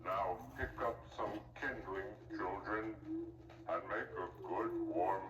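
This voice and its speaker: A man's voice: sits low, slow. A man playing a mild woodcutter father in a theatrical fairy tale. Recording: echoey, distant voice with music behind.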